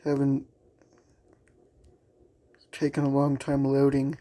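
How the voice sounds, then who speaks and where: Dark-voiced gamer muttering casual commentary. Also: mid-range voice, monotone, slow. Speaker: video creator, gamer.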